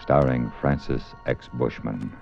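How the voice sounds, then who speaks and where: A man's voice: rumbling low, unhurried, creaky. A man announcing a 1950s suspense radio show in a dramatic style. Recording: dull 1950s radio recording. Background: loud plucked string music.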